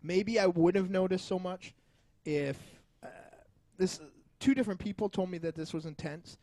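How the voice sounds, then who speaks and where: Gravelly, high-pitched man arguing about film. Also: moderate pace, mellow. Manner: argumentative, emphatic, profane. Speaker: podcast co-host. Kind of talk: heated film review discussion.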